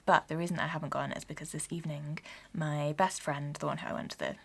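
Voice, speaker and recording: A young woman from England, chatting casually in a home vlog, gentle and sometimes dropping to a soft whisper. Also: deep voice, level tone, fast talker, gravelly, husky. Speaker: vlogger. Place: home, sitting by a Christmas tree.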